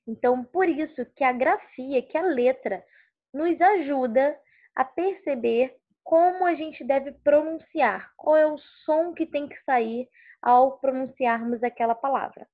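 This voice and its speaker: A woman teaching a lesson on letters and sounds, explaining step by step and often checking with "ok?". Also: sits high. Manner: slow, explanatory, checks understanding with "ok?". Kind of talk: recorded classroom lesson. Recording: tinny phone-like sound.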